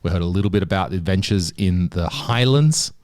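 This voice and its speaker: A man's voice: sits low, brisk, darkish tone. A man hosting a podcast with casual, joking banter and some swearing. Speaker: podcast host.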